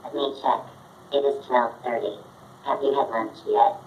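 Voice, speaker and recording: A man's voice: medium pitch, steady tone, moderate pace, a little mellow. A synthetic male voice of a polite homemade robot assistant, giving short announcements. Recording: roomy, distant device speaker with noise.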